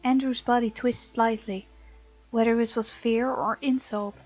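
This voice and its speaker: Female let's player, fairly high voice, playful narrator-reactor; mildly muffled recording, light game music underneath. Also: fairly even intonation, unhurried. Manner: playful, reacts out loud to characters. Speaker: gaming video host. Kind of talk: let's play, reading game text aloud with casual reactions. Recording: slightly dull sound, music behind.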